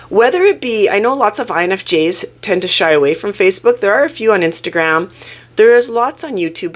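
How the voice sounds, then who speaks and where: A woman with a fairly low voice that swings widely in pitch as she talks. She is croaky from a cold and speaks in an earnest, reflective, personal way, like a channel host chatting straight to her viewers. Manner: earnest, reflective, self-disclosing. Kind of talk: casual talking-head explainer.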